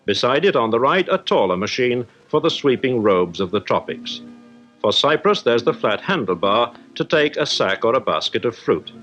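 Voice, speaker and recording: British guy reporting for a 1950s newsreel, very varied pitch, dull lo-fi audio with music under it. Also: very animated, normal speed, a bit bright. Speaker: industrial correspondent.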